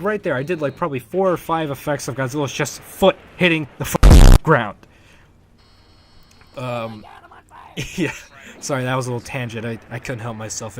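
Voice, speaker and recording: A man's voice: mid-range voice, a little hiss or hum. A young man giving casual, rambling and enthusiastic behind-the-scenes commentary as the creator of a fan dub. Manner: rambling, enthusiastic, chuckles often. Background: music under the voice.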